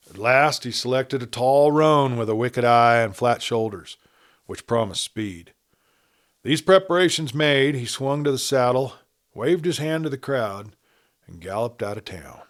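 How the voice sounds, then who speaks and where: A man reading a western story aloud as a scripted narrator. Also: mid-range voice. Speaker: audiobook narrator.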